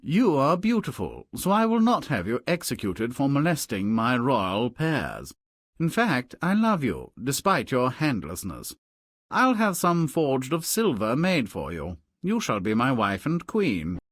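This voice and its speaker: A man's voice: medium pitch, wildly swooping pitch, measured pace, fairly bright tone, no echo, clean studio sound, full character voice. A man voicing an earnest, pompous fairy tale king in a video game, grand and naively romantic. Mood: lovestruck.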